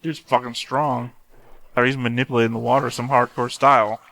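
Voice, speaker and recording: A man with a bright, forceful voice of ordinary pitch talks as a reaction host. His delivery is casual and blunt, like offhand commentary on something he is watching. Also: level tone, fairly quick, dry recording.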